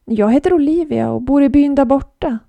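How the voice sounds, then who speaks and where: A woman's voice: high voice, gravelly, dry recording, narrow, tinny audio, pitched above the performer's own voice. A woman voicing a worried, polite little girl in a children's story.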